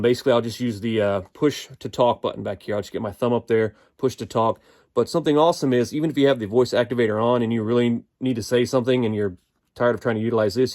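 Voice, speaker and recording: A man's voice: mid-range voice, darkish tone. An American man giving a casual, unscripted gear review in a folksy, explanatory manner. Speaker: product reviewer.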